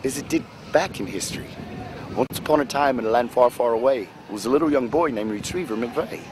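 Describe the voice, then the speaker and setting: A man spinning a rambling, scripture-flavored tale outdoors, stopping and restarting as he goes. His pitch sits in the middle and the recording carries a faint hiss of background noise. He speaks in loose, run-on sentences. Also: a little mellow. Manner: rambling, biblical phrasing, halting restarts. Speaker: homeless man interviewed on the street. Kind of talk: rambling storytelling.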